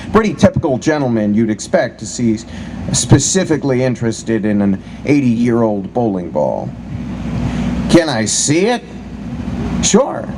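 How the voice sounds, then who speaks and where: A man giving a live dramatic reading of a comic first-person story in a wry, colloquial way. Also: mid-range voice. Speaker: live reader of short fiction. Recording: noisy live recording with steady ambient hum.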